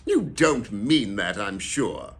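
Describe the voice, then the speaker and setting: A man voicing a cheerful, earnest young nephew in a storybook, speaking with eager, warm-hearted sincerity. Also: lowish voice, very animated, takes it slowly, exaggerated voice.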